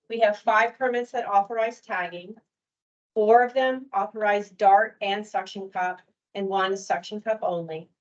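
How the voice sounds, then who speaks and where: A woman giving a measured, detailed presentation on permit rules at a workshop. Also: medium pitch, lo-fi sound. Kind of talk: prepared presentation.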